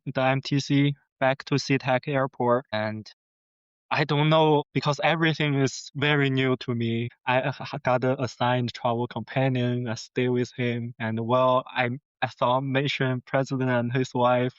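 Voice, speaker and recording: A man with a Mandarin Chinese accent, a native Chinese speaker, earnestly telling his personal story as a podcast guest in halting phrases with restarts. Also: mid-range voice, clean tone, no room sound. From China. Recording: slightly dull lo-fi sound.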